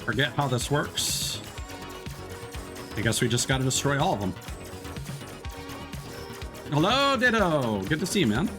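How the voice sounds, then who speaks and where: A man gives casual live commentary as he plays a game. His mid-pitched voice moves around in lively swings, chatty and polite, with music playing behind him. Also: measured pace, pauses often. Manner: chatty, polite, thinking aloud. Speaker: game streamer. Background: video game music.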